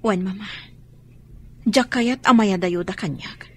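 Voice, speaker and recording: An actress voicing a patient, wronged wife and mother in a radio drama, soft and restrained. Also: medium pitch, unhurried, husky. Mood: resolute. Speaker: mother character. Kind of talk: Ilocano radio drama acting.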